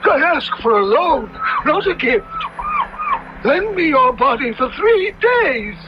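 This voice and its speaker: A misshapen, covetous monster bargains slyly in a very high, strained voice that swings theatrically. The speech is archaic and wheedling, in a 1970s radio horror play, heard through a dull vintage broadcast with music behind it. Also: male voice, sing-song, slow pace, very noisy, exaggerated voice. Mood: sly bargaining.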